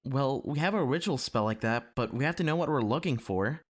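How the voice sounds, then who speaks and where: A woman's voice: a bass voice, lively intonation, a little fast. A woman voicing an earnest, plaintive little boy in a space fantasy audio drama. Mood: explaining.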